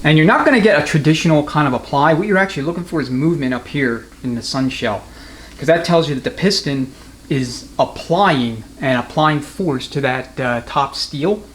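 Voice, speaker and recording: A man's voice: fairly high pitch, normal speed. Man explaining mechanical work, noisy background. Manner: matter-of-fact, explanatory. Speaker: transmission mechanic and instructor. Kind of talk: unscripted hands-on technical demonstration. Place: transmission workshop.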